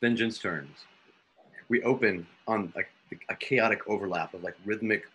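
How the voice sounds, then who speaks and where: A man narrating a drama script and its stage directions deliberately, pausing between phrases. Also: on the low side, fairly bright tone, low-quality recording. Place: indoors at home, video call. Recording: faint noise, home video call.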